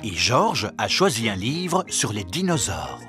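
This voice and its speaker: Female cartoon storyteller, low-pitched, highly varied intonation, calm, scripted narration, prominent music. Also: unhurried, exaggerated voice. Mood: calm, bright storytelling. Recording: loud music and animal sounds behind.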